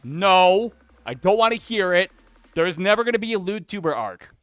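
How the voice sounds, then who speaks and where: A man's voice: sits high, animated, moderate pace, a bit bright, smooth, no echo, faint music behind. A young man streaming, chatting casually and rambling, often cutting himself off to answer chat. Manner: rambling and self-interrupting, talks back to chat.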